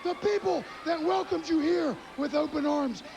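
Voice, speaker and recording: Gravelly high-voiced man cutting a promo. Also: normal speed, lo-fi sound. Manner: theatrical, insulting, emphatic. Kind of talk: shouted wrestling promo. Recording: archival TV audio with a loud crowd. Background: arena crowd noise.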